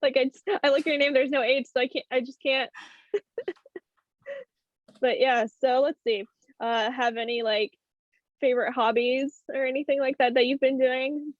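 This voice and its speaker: A chatty woman host with a slightly high voice, talking casually with her guest. The sound is a dull, dry video call recording. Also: level tone, normal speed, long pauses, no room sound. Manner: chatty, laughs easily. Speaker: show host. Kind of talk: casual chat.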